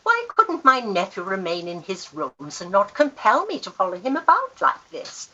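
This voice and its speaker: A woman's voice: medium pitch, wildly swooping pitch, normal speed, bright, forceful tone, muffled recording, full character voice. A woman playing a worldly older aunt in a Victorian radio play, grand, fond and wryly teasing. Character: worldly, affectionate older aunt and wealthy guardian, teasing, wry. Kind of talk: Victorian period radio acting.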